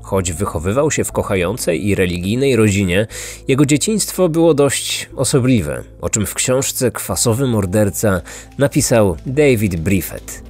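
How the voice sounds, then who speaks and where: A man hosting a podcast, reading scripted true-crime narration like a measured storyteller. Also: on the low side, expressive, darkish tone. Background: faint music.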